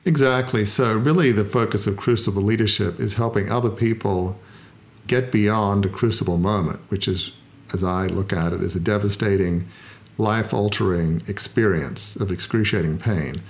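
A middle-aged man talking in an interview, reflective and self-deprecating, often pausing with "uh" as he thinks. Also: on the low side. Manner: thoughtful, self-deprecating, frequent "uh" pauses. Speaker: founder and interview guest.

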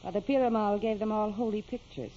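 Woman as mellow, worried peasant mother. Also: medium pitch, steady tone, brisk, natural voice. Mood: weary concern. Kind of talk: 1940s-1950s radio acting. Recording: dull old radio recording, faint noise.

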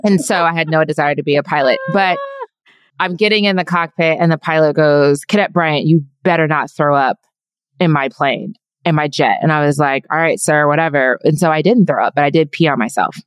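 A woman's voice: sits low, fairly quick, clean tone, dry recording. A woman from Germany whose first language is German, telling wry, matter-of-fact stories as a podcast guest. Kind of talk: casual interview chat.

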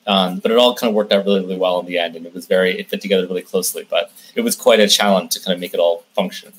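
A man's voice: deep voice, fairly even intonation, very fast, slightly rough, low-quality recording. A man giving conversational interview answers as a guest, explaining things in detail and often restarting his phrases. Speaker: interview guest, film director and producer.

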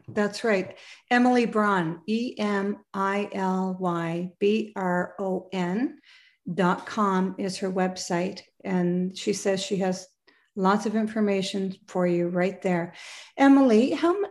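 A woman's voice: mid-range voice, slow, darkish tone. A woman hosting an interview show, encouraging her guest with frequent affirmations and wrapping up the episode. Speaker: podcast host.

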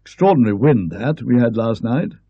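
A man voicing a bluff, hearty older colonel who talks in a booming way. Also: big pitch swings, fairly quick, breathy edge, dry recording. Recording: dull lo-fi recording.

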